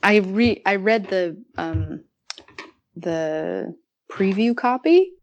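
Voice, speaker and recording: A woman's voice: mid-range voice, expressive, slow pace, lo-fi sound, a little hiss or hum. A woman chatting casually and enthusiastically about literature as a podcast guest.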